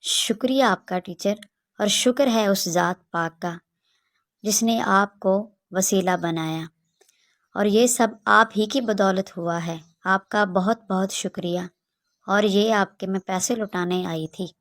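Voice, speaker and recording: A woman's voice: medium pitch, slow pace, no echo, studio quality. A woman voicing a studious, grateful young village daughter in a children's moral story, polite and earnest.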